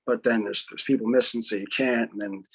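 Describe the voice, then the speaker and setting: A man's voice: on the low side, steady tone, a little fast, fairly bright tone. A man hosting a podcast, joking, swearing and rambling in a loose, casual way. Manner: profane, rambling, jokey. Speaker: podcast host.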